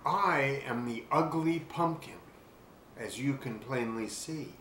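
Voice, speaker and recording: An older man reading a children's storybook aloud expressively and deliberately, pausing to describe things. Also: mid-range voice, fairly bright tone. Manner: deliberate, with pauses to describe the pictures. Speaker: children's book reader. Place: small furnished room at home. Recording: roomy webcam sound with faint hiss.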